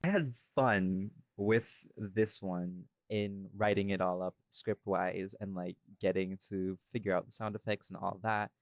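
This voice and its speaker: Male podcast host, lower register, self-mocking and irreverent, casual talk, dry recording. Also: steady tone, unhurried, hardly any pauses, no room sound. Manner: self-deprecating, jokey, profane. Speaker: podcast host, audio creator and voice actor. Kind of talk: casual behind-the-scenes chat.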